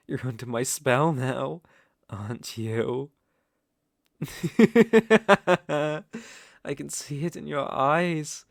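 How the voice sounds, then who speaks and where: Man playing a slow-speaking, seductive British vampire count. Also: medium pitch, creaky, no echo, clean studio sound, full character voice. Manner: slow, teasing, commanding, with theatrical old-fashioned phrasing. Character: suave, seductive, possessive male vampire count. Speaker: ASMR roleplay performer. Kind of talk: intimate ASMR roleplay acting.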